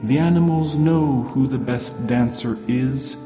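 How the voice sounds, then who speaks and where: A stern, commanding old shaman, voiced by a male storyteller, rings out in long reverb over music. His solemn words rise high as he questions and instructs his people in an old folk tale. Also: unhurried, close to an everyday voice. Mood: solemn, instructive. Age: older. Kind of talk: oral storytelling of a traditional tale. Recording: long hall reverb, clear music underneath.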